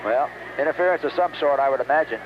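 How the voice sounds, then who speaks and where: A man's voice: medium pitch, fast talker. An American man calling live football play-by-play on the radio, in short clipped phrases that follow the action. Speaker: play-by-play sports announcer. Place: outdoors at a stadium. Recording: dull, noisy 1970s radio recording.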